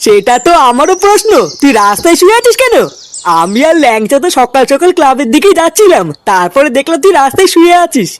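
Actor doing a high-pitched, grumbling cartoon village man. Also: very animated, fast talker, slight background noise. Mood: brisk. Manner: grumbling, sarcastic, brusque. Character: irritable, sarcastic village man, sceptical grumbler. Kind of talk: comic cartoon dialogue in colloquial Bengali.

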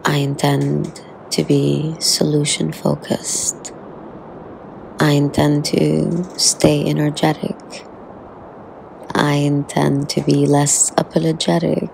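A woman guides a meditation in a soothing, very slow delivery. Her voice is flat in pitch and heavy with vocal fry, softening into breath as phrases end. She leaves long, unhurried pauses. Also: a little hiss or hum, low-quality recording.

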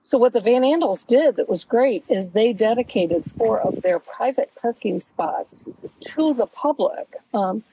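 A middle-aged woman answering as an interview guest outdoors, explaining things in a measured, conversational way. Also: fairly high pitch, animated, mellow, rough recording. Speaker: interview guest, local author. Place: outdoors at a beach picnic table. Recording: outdoor recording with faint background noise.

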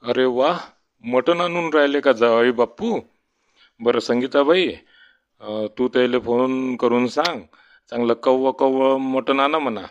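A man's voice: mid-range voice, a little slow, natural voice. A man voicing a fussy, complaining village father-in-law in a comedy cartoon, with a Varhadi Marathi accent. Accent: Varhadi Marathi from Vidarbha. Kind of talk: comedy cartoon dialogue in rural dialect. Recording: dull, muffled sound.

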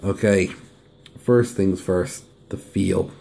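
A hoarse man, chatting casually through an unboxing review and humming as he unwraps things. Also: low-pitched, fairly even intonation, slow pace, warm tone, a little hiss or hum. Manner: chatty, hums while unwrapping. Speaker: deck reviewer. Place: indoors at a table. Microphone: camera over the table filming hands.

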